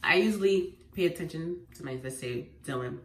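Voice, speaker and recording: A woman with a head cold, sounding congested, sharing home remedy tips in an animated, emphatic vlog at home. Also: sits low, brisk. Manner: animated and emphatic, repeating phrases. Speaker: lifestyle vlogger. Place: at home indoors. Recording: echoey room, faint noise.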